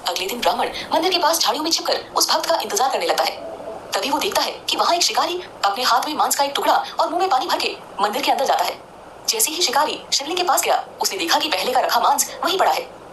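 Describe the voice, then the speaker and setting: A woman tells a scripted devotional tale in a high voice that rises and falls freely. She moves along briskly, with music playing underneath. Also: very animated, noisy background. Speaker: narrator. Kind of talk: scripted devotional story narration.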